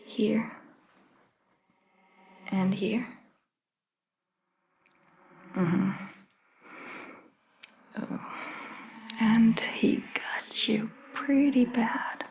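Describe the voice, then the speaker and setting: Very slow, whispering woman playing vampire doctor. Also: mid-range voice, creaky, a little hiss or hum. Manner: unhurried, gentle, reassuring, with long pauses. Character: calm, caring centuries-old female vampire doctor. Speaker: ASMR roleplay performer. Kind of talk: soft-spoken ASMR roleplay, mostly whispered.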